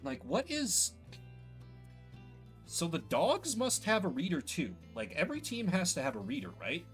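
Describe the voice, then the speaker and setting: A man's voice: high voice, wildly swooping pitch, moderate pace, creaky, a bit bright, soft background music. A man giving casual livestream game commentary, musing and thinking aloud as he plays. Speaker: streamer playing and voicing a game.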